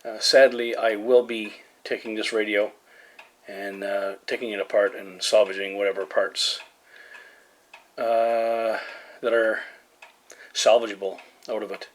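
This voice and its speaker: A man's voice: fairly low pitch, level tone, a little slow, pauses often. A man giving casual hands-on commentary as a hobbyist repairing something, tentative and muttering as he works. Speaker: hobbyist presenter of a radio repair video. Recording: noisy home recording with ticking and clicks.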